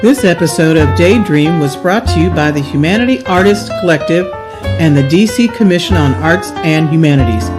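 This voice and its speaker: A woman narrates an audio drama in a scripted presenting style. Her voice is fairly low, and guitar music plays clearly behind her. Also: lively intonation, moderate pace.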